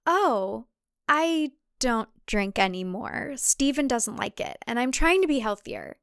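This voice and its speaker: A woman's voice: a little high, animated, unhurried, creaky, a bit bright, clean tone, dry recording, clean studio sound, exaggerated voice. A woman voicing a sweet, submissive, eerily cheerful young farm wife in audio fiction, with a chirpy, placating, singsong delivery. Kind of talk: audio fiction character dialogue.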